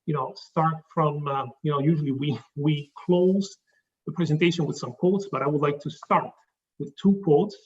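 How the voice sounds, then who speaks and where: A man with an Italian accent giving a pitch presentation, talking haltingly with many fillers and restarts. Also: darkish tone, recorded from a distance, muffled recording. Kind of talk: pitch presentation to a live audience.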